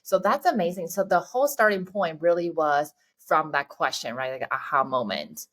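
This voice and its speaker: A woman's voice: deep voice, expressive, normal speed, fairly bright tone. A woman hosting a podcast, asking questions eagerly and a little self-deprecatingly. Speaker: podcast host. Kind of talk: casual interview.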